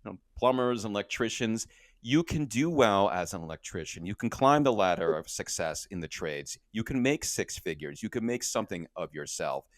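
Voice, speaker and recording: Low-voiced man, dry close sound. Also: normal speed, dry recording. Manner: energetic, repeats words when starting sentences. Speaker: podcast guest, company co-founder. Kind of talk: casual interview talk.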